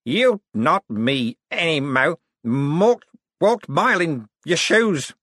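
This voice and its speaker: A man voicing a deranged, feverish man who slurs and mumbles in halting, broken phrases with flashes of pomposity, as in an audiobook. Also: fairly high pitch, big pitch swings, fairly bright tone, no echo, studio quality, pitched above the performer's own voice, exaggerated voice. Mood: slurred, maudlin. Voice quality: slurred, mumbling. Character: deranged, slurring, feverish man with imperious delusions. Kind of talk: audiobook character acting.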